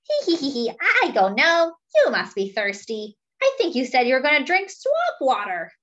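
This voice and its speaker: A woman's voice: sits high, wildly swooping pitch, normal speed, fairly bright tone, lo-fi sound, voice pushed up, exaggerated voice. A woman voicing a small, sly monkey trickster in a children's picture book, giggling teasingly before making suggestions.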